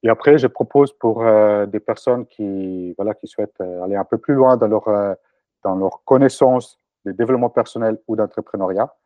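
A man's voice: fairly low pitch, normal speed, no echo, muffled recording. A man in his forties from Italy, a native Italian speaker, answering interview questions with many fillers and restarts. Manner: hesitant, frequent fillers and restarts. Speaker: interview guest, entrepreneur.

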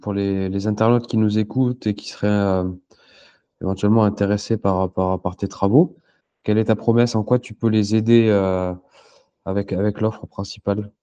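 A man reading scripted interview questions at an even pace. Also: fairly low pitch, normal speed, smooth, dull audio.

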